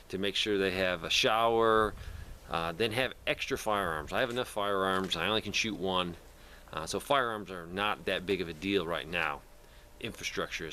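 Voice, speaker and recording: A man's voice: fairly low pitch, pushed and bright, a little hiss or hum. A man talking casually to camera in a plainspoken, rambling way, like a video host showing his gear. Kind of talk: casual product talk to camera.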